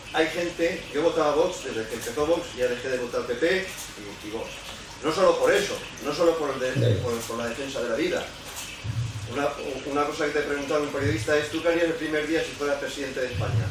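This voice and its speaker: A man's voice: fairly high pitch, quick pace. Distant, echoey man rambling from the floor. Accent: Peninsular Spanish. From Barcelona, Spain. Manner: rambling, repeating himself. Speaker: audience member asking a question. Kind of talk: spontaneous question from the floor. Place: conference hall. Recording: distant, very echoey, noisy hall sound.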